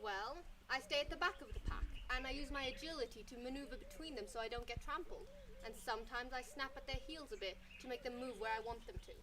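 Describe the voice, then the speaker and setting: A woman's voice: high-pitched, fast talker, crowd noise, lightly acted. A woman voicing a young, lonely male sheepdog in a children's story, speaking in an earnest, self-deprecating way.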